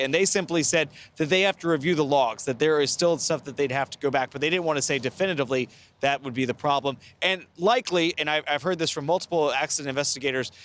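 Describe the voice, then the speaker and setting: A middle-aged American man reporting live as a TV news correspondent, speaking in a measured, careful, explanatory way. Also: on the high side, a bit bright. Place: outdoors by a river at night.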